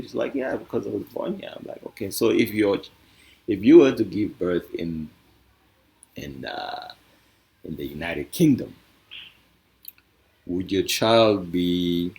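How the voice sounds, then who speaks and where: A man from Africa with a deep voice speaks informally as he explains his thinking. His delivery is halting and reflective, building each point through questions and imagined replies. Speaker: speaker presenting a discussion.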